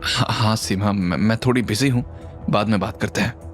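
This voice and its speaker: A man's voice: lowish voice, moderate pace, close to an everyday voice. A man voicing a worried young woman in an audio drama, anxious and panicky. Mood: distracted. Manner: panicky, hesitant. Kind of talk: Hindi audio drama acting. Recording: music under the voice.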